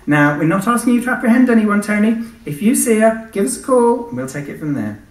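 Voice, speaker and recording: A man's voice: high voice, sing-song, a little fast, pitched above the performer's own voice. A man voicing a very young policewoman, eager and officiously helpful. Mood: insistent. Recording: echoey hall, distant microphone.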